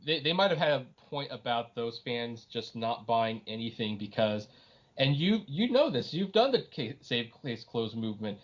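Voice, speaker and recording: A middle-aged man in a casual, opinionated podcast conversation, making his points emphatically with some exasperation. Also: medium pitch. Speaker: podcast guest, anime writer.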